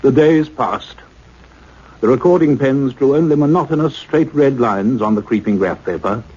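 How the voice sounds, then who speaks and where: A man's voice: medium pitch, moderate pace, a little mellow, husky, natural voice. An actor playing an earnest male scientist, speaking in a precise, formal radio-play manner. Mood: measured, grave. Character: earnest male scientist doctor narrating his investigation. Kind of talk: radio play acting and narration. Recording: dull, lo-fi radio drama recording.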